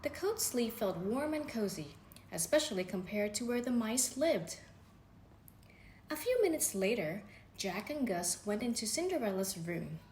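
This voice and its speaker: Expressive woman reading a storybook. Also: a little high, unhurried. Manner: gentle, expressive reading with voices for characters. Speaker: storybook reader. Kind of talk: children's bedtime story read-aloud. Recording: echoey room with faint background noise.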